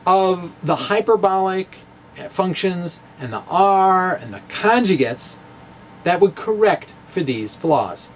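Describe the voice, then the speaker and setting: A man's voice: high-pitched, slight background noise, low-quality recording. A man giving a dramatic reading of his own physics blog in a wry, playful way and laughing at his own jokes. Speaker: physics blogger.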